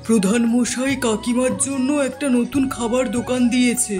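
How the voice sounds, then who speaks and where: A man's voice: very high voice, steady tone, moderate pace. A man playing a suspicious, inquisitive villager in a cartoon, talking earnestly and insistently. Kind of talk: cartoon moral-story dialogue. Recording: echoey room sound with faint music.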